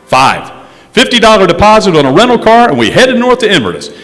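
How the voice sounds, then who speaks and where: A man's voice: high voice, huge pitch swings, brisk, a bit bright, exaggerated voice. Man playing a theatrical radio detective, swooping delivery. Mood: wry, confiding. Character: wry, smooth-talking male insurance investigator narrating his case. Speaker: stage radio actor. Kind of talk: 1950s detective radio drama narration. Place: stage in a historic courthouse hall. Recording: echoey hall, music underneath.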